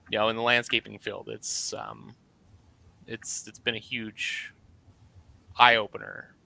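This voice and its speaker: A man's voice: mid-range voice, gravelly, pushed and bright, low-quality recording. A man who runs a landscaping business, talking casually on a video call panel with lots of fillers. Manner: conversational, with frequent fillers.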